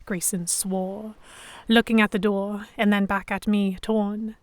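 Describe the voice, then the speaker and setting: A woman playing an anxious, indignant young captive who narrates her ordeal in expressive, first-person storytelling for an audio drama. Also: medium pitch, a little slow, no room sound, close to an everyday voice. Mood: tense.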